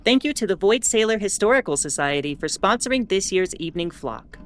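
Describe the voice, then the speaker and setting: A woman playing a wry, mildly exasperated starship announcer in a scripted audio drama, explaining in a measured way with asides. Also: medium pitch, lively intonation, faint music behind, lightly acted. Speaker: host.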